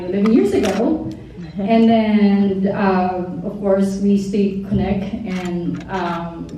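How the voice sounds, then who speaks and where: A woman's voice: mid-range voice, warm tone. Filipino-accented woman, echoey distant room. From Philippines. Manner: rambling, many fillers, stumbling English. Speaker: modeling agency owner giving a speech. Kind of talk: heartfelt speech at a party table. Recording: distant mic in a very echoey room with faint noise.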